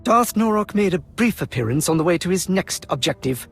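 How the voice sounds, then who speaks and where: A man's voice: high voice, expressive, brisk, gravelly, husky, full character voice. A man playing a stern, officious military colonel in a video game cutscene, with formal, clipped delivery. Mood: measured. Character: brisk, officious male Imperial colonel, dutiful and stern. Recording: music behind the voice.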